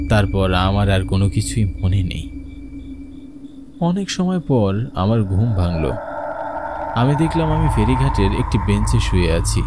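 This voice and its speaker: A man's voice: fairly low pitch, lightly acted. A man voicing a frightened ordinary man who tells his own ghost story in dramatic horror cartoon style. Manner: dramatic, fearful, pleading in dialogue. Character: frightened family breadwinner stranded among ghosts, narrating. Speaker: first-person narrator and protagonist. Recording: noisy ambience behind the voice.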